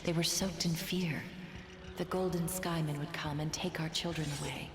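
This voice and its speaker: An actress playing a tender, solemn mother telling an old legend in a video game, gentle and hushed, at times nearly whispering. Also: deep voice, fairly even intonation, normal speed, close to an everyday voice. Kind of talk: video game voice acting, storytelling. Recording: echoing reverb, noisy game ambience.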